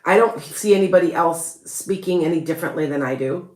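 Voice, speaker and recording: Woman, Chicago accent, lowish voice, rambling chatty live-stream host, roomy echo. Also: brisk, darkish tone. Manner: rambling and chatty, with frequent laughs and restarts. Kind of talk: casual live-stream chat.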